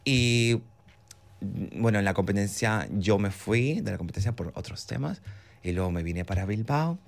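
A man from Peru, a drag performer telling his story in a lively way. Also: lowish voice, moderate pace, vocal fry. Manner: lively storytelling with acted quotes.

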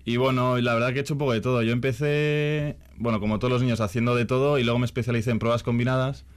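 A man's voice: mid-range voice, fairly even intonation, rapid-fire, smooth. A young man with a northern Spanish accent, talking casually as an athlete being interviewed. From Vitoria-Gasteiz, Basque Country, Spain. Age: young adult. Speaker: athlete guest, hurdler.

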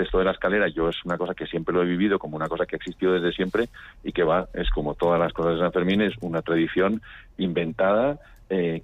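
Low-voiced northern Spanish man, echoey phone line. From Pamplona, Spain. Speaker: documentary filmmaker being interviewed. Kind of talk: casual conversational interview. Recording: echoey, phone-like radio link.

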